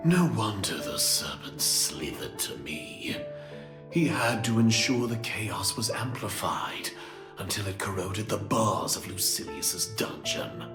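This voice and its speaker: An actor voicing an arrogant, sardonic villain bent on ruling all creation, with haughty, formal delivery, in an English game dub. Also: male voice, on the low side, sing-song, slow pace, exaggerated voice. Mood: smug. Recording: echoing voice, faint music.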